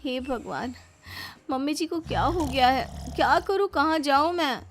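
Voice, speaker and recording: A woman's voice: high-pitched, animated, a little slow, a little hiss or hum, pitched above the performer's own voice. A woman voicing a young daughter-in-law, polite but stubborn and easily put out, in a dramatized family story. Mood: exasperated.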